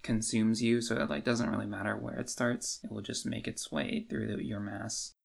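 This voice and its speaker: Creaky-voiced man thinking aloud on a podcast. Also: fairly low pitch, fairly even intonation, normal speed. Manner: hedging, informal, thinks aloud. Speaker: podcast co-host. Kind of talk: casual book discussion.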